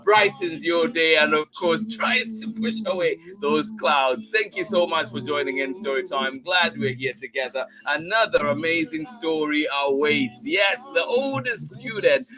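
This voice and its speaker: A man's voice: fairly high pitch, measured pace, few pauses, a crowd in the background, poor audio. A man hosting a livestreamed children's story time, effusively greeting and thanking his listeners before a read-aloud.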